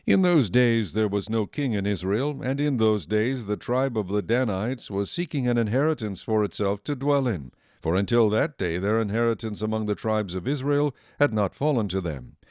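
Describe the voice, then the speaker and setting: A man reading scripted Bible narration in a measured, solemn storytelling manner. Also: fairly low pitch, darkish tone, dry recording, studio quality. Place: dry studio.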